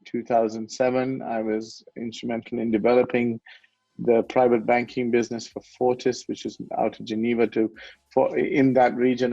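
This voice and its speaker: A man's voice: medium pitch, creaky, dark tone, no room sound, dull audio. A man in his forties from Sri Lanka, a guest in a conversational interview who talks in a rambling way, corrects himself and chuckles often. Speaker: guest, wealth management founder.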